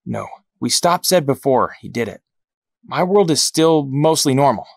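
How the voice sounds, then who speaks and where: Cocky, regretful young hero man performing, audio drama: creaky, casual, halting delivery. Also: mid-range voice, expressive, no room sound, clean studio sound. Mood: casual, wry. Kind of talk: amateur audio drama acting.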